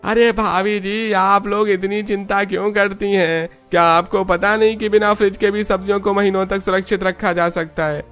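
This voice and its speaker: Man as a high-voiced helpful vegetable seller. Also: little pitch movement, moderate pace, hardly any pauses, fairly bright tone, clear, resonant tone, soft background music. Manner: reassuring, explanatory.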